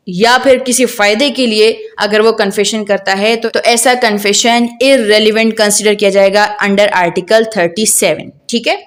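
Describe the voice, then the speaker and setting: A woman with a Pakistani accent giving an explanatory law lecture, didactic, often posing questions and answering them herself. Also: on the high side. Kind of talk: explanatory law lecture mixing Urdu and English.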